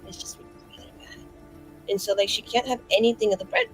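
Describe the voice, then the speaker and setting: A woman's voice: medium pitch, quick pace, soft background music, poor audio. A woman player speaking in character in a tabletop game, chatty and rambling with constant fillers. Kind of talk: in-character roleplay.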